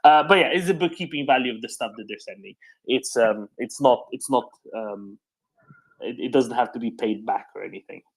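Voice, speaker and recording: A man's voice: mid-range voice, moderate pace, vocal fry, poor audio. A man hosting a live news discussion, explaining developments off the cuff and often checking in with a "right?". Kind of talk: unscripted news commentary and discussion.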